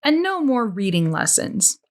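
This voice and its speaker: A woman's voice: fairly high pitch, very animated, unhurried, voice pushed up. A woman reader voicing a stern, sarcastic female camp warden with pointed, interrogating questions. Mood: stern, commanding.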